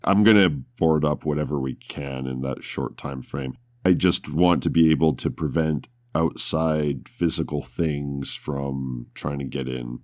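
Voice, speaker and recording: Very deep-voiced man playing a tabletop game. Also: a little slow, dry recording, clean studio sound. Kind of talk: casual in-game chat.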